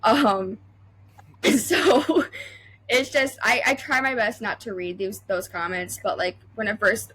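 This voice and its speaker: A woman's voice: a little high, fairly bright tone, slight background noise, low-quality recording. A young adult woman welder talking as a podcast guest, chatty and full of fillers and restarts. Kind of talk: casual interview chat.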